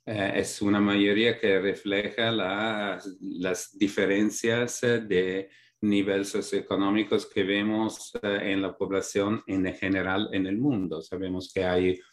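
Thoughtful low-voiced migrant academic man. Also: flat delivery. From Europe. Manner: measured, thoughtful, many fillers and restarts. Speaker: academic guest, migration researcher. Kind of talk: interview answers, explanatory.

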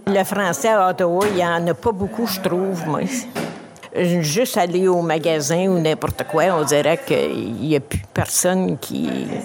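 A woman's voice: fairly low pitch, expressive, unhurried. Woman, vox pop, chatty, crowd behind. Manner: casual, conversational. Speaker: street interviewee. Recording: street interview with crowd noise.

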